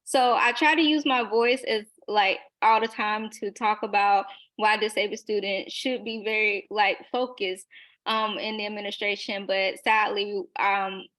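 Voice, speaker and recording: A woman's voice: medium pitch, steady tone, unhurried. A young woman in her twenties speaking as a panelist in a webinar, earnest and emphatic, with fillers and short laughs, over a video call. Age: young adult. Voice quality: loud voice.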